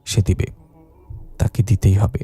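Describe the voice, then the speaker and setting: A man's voice: measured pace, warm tone, faint music behind, lightly acted. A man voicing a grave, determined man with a firm, serious manner in a suspense story. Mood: grave resolve.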